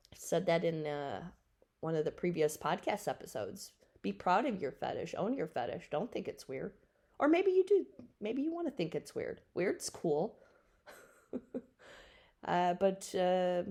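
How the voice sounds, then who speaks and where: A woman's voice: fairly low pitch, creaky, a little mellow. A woman in her forties hosting a solo podcast, answering questions off the cuff in a frank, rambling, matter-of-fact way. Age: 40s. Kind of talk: casual unscripted solo podcast talk.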